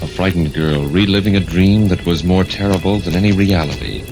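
A low-pitched male announcer narrates in ominous 1940s radio style. Music plays clearly behind him on the vintage recording. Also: fairly quick, poor audio.

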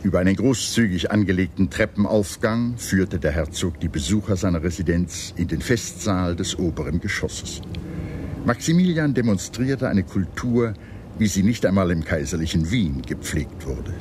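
Male history narrator, low register, measured formal scripted read, noisy background. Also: animated. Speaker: documentary narrator.

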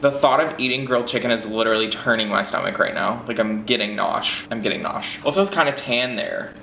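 A man's voice: mid-range voice, a little fast, vocal fry, sharp tone, a little hiss or hum. A man of twenty-one giving wry, self-mocking commentary on an old video of himself, filmed at a kitchen counter. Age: early 20s. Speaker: vlogger reacting to an old video. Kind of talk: casual reaction commentary. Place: kitchen in an apartment. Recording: roomy kitchen echo.

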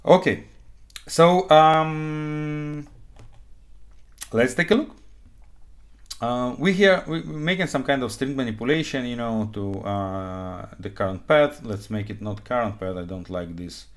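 A man, an English speaker explaining code informally and haltingly as an instructor in a live tutorial. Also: mid-range voice. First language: not English; also speaks Hebrew, Russian and Ukrainian. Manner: halting, frequent okay check-ins, non-native phrasing. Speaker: programming instructor. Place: small indoor room, webcam screen recording.

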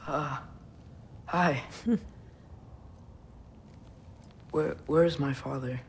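A young man playing an earnest, gentle teenage boy in a video game cutscene, speaking in a halting, sincere way. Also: a little high, slight background noise. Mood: groggy, hesitant. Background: music.

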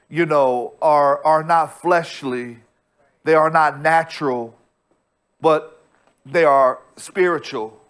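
A pastor in his thirties delivers a sermon from a church stage, speaking into a small body mic. His voice sits a little high for a man, and he takes his time. He drives each point home with repeated phrases and restarts. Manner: preaches slowly with emphatic repetition and restarts. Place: church stage with bright screen backdrop.

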